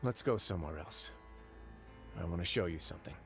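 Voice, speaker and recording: An actor playing a composed, wry young duke in a video game, speaking in a deliberate, measured storytelling manner. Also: male voice, low-pitched, animated, soft background music. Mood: calm, measured. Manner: deliberate, dryly wry, unhurried. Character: composed, wry young duke who runs an underwater prison fortress.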